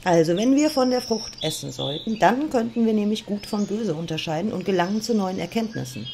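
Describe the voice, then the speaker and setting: A woman's voice: mid-range voice, lively intonation, a little fast, creaky, warm tone, a little hiss or hum. A woman acting a bossy, teasing and curious Eve in a comedic audio drama. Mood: bossy, persuasive. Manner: sassy, teasing.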